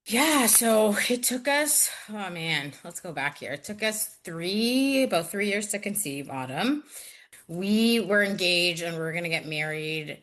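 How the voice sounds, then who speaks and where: Chatty woman with a bright voice. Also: lowish voice, expressive. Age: 30s. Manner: chatty, full of "like" and quick asides. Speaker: interview guest. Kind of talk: casual interview conversation.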